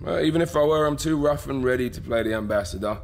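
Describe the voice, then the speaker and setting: An actor playing a blunt, rough-and-ready male rabbit fighter with a husky voice in a radio drama. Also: mid-range voice, fast talker, slight background noise, close to an everyday voice. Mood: firm. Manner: rough and ready, plain-spoken.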